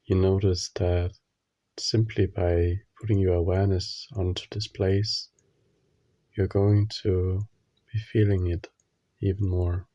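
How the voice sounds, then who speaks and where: A man leading a guided meditation, giving gentle instructions in short phrases with long pauses. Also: low-pitched, flat delivery, dry recording, highs cut off.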